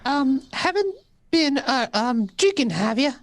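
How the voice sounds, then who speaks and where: A woman's voice: high voice, sing-song, a little slow, no room sound, studio quality. A woman playing a fussy household servant in an old-fashioned radio play. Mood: dry, fussy.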